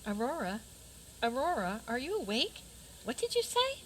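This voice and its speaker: A woman's voice: high-pitched, big pitch swings, normal speed, a bit bright, a little hiss or hum, low-quality recording. A woman playing an anxious, earnest fairy-tale princess in a community radio play, fretful and hesitant. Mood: worried. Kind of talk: community radio theater acting.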